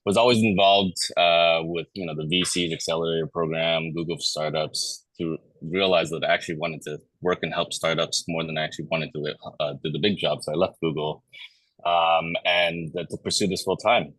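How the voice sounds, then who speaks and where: A man's voice: extremely low pitch, a bit bright, no room sound, poor audio. A man, a founder on a conference panel, talking eagerly with many "uh" fillers. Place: conference stage.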